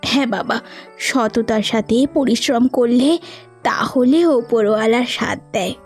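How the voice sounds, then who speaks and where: A woman voicing a gentle, caring old village mother in a cartoon, speaking in an earnest, encouraging way. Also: on the high side, slow pace, soft background music. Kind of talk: cartoon dialogue.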